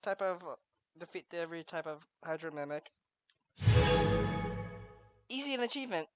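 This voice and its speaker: Offhand gameplay streamer, mid pitch, casual talk, game music behind. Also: lively intonation, normal speed, fairly bright tone. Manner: offhand, trailing off with fillers.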